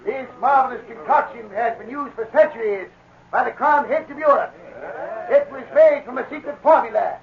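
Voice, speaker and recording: An actor playing a brash medicine show pitchman with loud, folksy, theatrical sales patter in a 1940s radio drama. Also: normal speed, vocal fry. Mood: showy, hawking. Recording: muffled 1940s radio recording.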